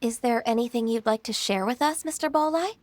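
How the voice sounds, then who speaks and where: An actress playing a gentle, composed young secretary and mentor in a video game, polite, measured and tactful. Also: female voice, on the high side, slight background noise, natural voice. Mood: courteous, shrewd.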